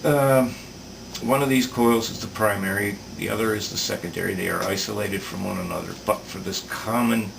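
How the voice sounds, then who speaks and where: A man giving a casual, unscripted technical explanation in a halting, thoughtful way, thinking aloud as he demonstrates repair work. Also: on the low side, fairly even intonation. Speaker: repair video maker demonstrating electronics work. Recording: distant mic with clear background noise.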